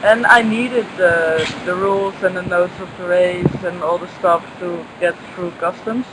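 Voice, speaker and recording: A woman with a Dutch accent, a guest talking casually and haltingly about a sailing race. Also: lowish voice, steady tone, background noise. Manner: hesitant, halting with restarts. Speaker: guest sailor. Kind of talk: casual chat.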